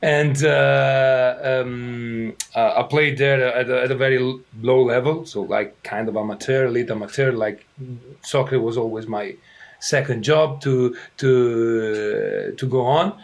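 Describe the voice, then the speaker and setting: Italian-accented man, halting interview answers. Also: medium pitch, creaky. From Italy. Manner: halting, many fillers and restarts. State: throat irritated. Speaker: soccer head coach.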